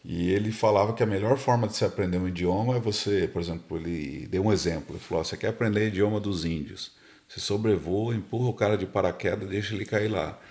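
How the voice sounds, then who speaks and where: A Brazilian man with a fairly low voice recounts his story as a podcast guest. He rambles and repeats words while he searches for what to say. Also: fast, a little mellow. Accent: Brazilian Portuguese. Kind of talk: casual storytelling.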